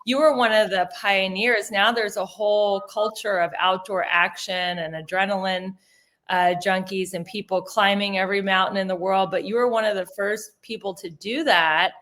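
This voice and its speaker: A woman's voice: medium pitch, fairly even intonation, moderate pace, fairly bright tone. A woman hosting an interview over a video call, chatty and enthusiastic. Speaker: interview host. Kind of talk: casual interview chat.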